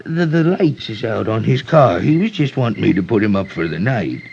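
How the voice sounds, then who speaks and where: A man's voice: on the low side, expressive, moderate pace, a little mellow. An actor playing a timid, flustered older country motel keeper in an old radio drama, stammering through his lines. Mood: flustered, cowed. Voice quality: stammering, creaky. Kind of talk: 1950s radio drama acting. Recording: muffled, noisy old radio recording.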